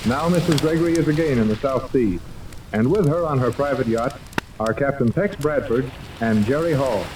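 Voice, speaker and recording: An actor playing an earnest announcer, narrating a 1930s radio serial from a script. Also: male voice, mid-range voice, normal speed, natural voice. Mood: solemn. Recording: distant, crackly old radio recording.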